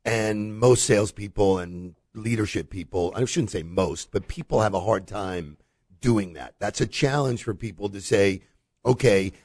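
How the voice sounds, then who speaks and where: Low, gravelly, earnest co-host. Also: male voice, moderate pace, darkish tone, no room sound, studio quality. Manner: earnest, reflective. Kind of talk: casual chat.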